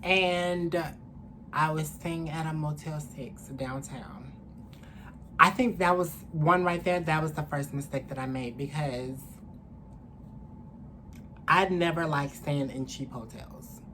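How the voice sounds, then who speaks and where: Low-pitched young woman storyteller, noisy recording. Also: slow, long pauses. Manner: candid, animated, profane slangy talk. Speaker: vlogger. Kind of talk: casual storytelling to camera. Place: indoors, small room. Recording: clearly noisy room ambience.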